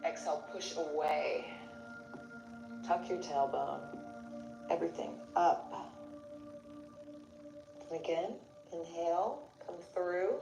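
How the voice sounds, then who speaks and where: A woman yoga instructor leading a class, giving calm step-by-step cues with pauses between them. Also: lowish voice, gravelly, highs cut off. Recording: roomy echo, music behind. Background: music.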